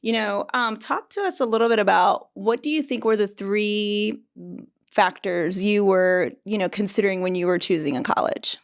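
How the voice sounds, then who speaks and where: A woman's voice: medium pitch, moderate pace, clear, resonant tone. A woman working as a university recruiting director, hosting a student panel with friendly, encouraging questions. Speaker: director of recruiting, interview host.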